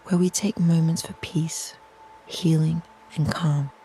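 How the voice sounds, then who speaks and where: Gravelly, soft-spoken meditation guide. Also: mid-range voice, fairly even intonation, slight background noise, low-quality recording. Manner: slow, soft, soothing, breathy near-whisper phrasing.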